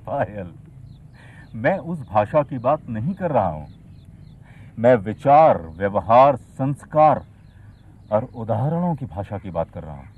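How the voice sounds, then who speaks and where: Muffled, animated young male outreach worker. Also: mid-range voice, takes it slowly, pauses often, breathy edge, heavily acted. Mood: earnest, reassuring. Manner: explains through questions and everyday examples. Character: earnest, patient young male village outreach worker, gently persuasive. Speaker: actor in an educational training film. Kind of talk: acted film dialogue. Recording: muffled, lo-fi film soundtrack.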